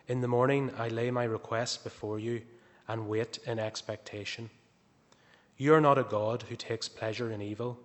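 A man reading a psalm aloud in a church service, slow and measured. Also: medium pitch. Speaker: scripture reader. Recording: distant voice in echoing church.

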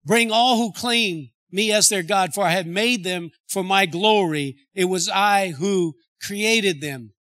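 Bright-toned earnest man introducing a guest pastor. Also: high voice, slow pace, hardly any pauses. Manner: earnest, with restarts. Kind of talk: sermon introduction.

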